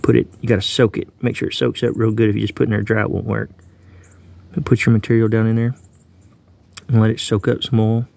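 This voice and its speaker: Folksy man with a gravelly voice. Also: on the low side, little pitch movement, fast talker, a little mellow. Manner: rambling, folksy, talks while working with his hands. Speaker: bushcraft video maker. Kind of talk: casual outdoor demonstration. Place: outdoors on the ground near a road. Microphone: phone camera held close. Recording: phone recording outdoors with faint traffic noise. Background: faint road and outdoor noise.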